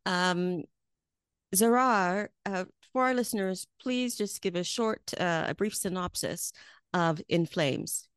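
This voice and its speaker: A woman hosts a broadcast interview, posing measured, formal questions. Her voice is smooth and resonant and sits slightly low, recorded close and dry. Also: lively intonation, no room sound.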